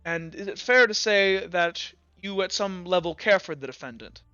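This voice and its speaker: A man playing a formal, courteous sergeant who deliberately questions a witness in a tabletop game. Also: high-pitched, animated, sharp tone, smooth, dry recording, highs cut off, faint music behind, voice pushed up. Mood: formal, probing.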